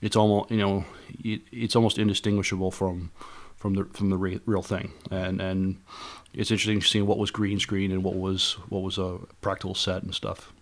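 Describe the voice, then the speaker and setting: Halting man with a lowish voice. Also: level tone. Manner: halting, repeats words as he searches. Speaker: podcast co-host. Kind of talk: casual chat.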